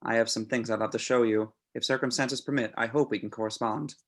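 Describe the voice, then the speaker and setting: A man playing an obsessed serial killer reading out his formal, measured letter to his idol in a screenplay table read. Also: medium pitch, steady tone, fairly bright tone. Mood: confiding, self-important.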